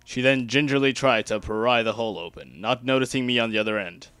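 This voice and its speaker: A man's voice: medium pitch, a little fast, fairly bright tone, clean tone, dry recording. A man reading first-person fan fiction aloud as an amateur dramatic narrator.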